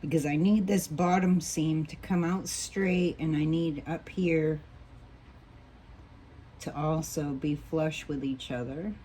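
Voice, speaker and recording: A woman teaching a quilting block as she sews, patient and thinking aloud, a little hoarse as she loses her voice. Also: sits low, fairly even intonation, slow pace, mellow, slight background noise. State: losing her voice, coughing. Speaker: quilting instructor. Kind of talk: relaxed hands-on sewing tutorial.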